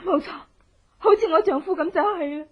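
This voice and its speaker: An actress playing a grieving, humiliated general's widow in a melodramatic, anguished radio drama style. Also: female voice, extremely high pitch, a little fast. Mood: bitter, sorrowful. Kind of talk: Cantonese radio drama acting. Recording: dull, lo-fi old radio drama.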